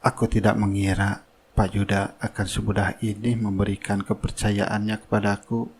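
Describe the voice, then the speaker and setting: A man's voice: on the low side, level tone, moderate pace, slightly rough. Man as a sly, falsely meek schemer. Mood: sly, smug. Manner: deferential aloud, sly in private. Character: scheming gold-digging young worker, outwardly meek and innocent. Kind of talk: cartoon drama dialogue and inner thoughts.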